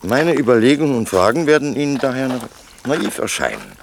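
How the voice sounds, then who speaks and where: A man's voice: medium pitch, very animated, fairly quick, creaky, background noise. A man acting in a radio play as a deferential businessman, polite, insinuating and persuasive. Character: deferential, wheedling Mexican businessman pressing a politician.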